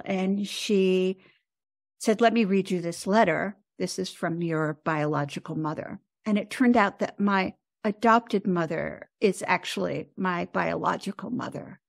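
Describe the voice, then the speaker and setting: A woman's voice: medium pitch, creaky, no echo, studio quality. Reflective American woman aged seventy. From United States. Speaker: podcast guest, composer and author. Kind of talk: reflective interview talk.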